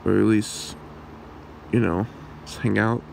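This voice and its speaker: A man's voice: on the low side, steady tone, creaky, slight background noise, heavily acted, poor audio. A man playing a shy, awkward young man smitten with his friend, speaking hesitantly in an ASMR roleplay. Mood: shy, friendly. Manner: hesitant, halting, soft-spoken.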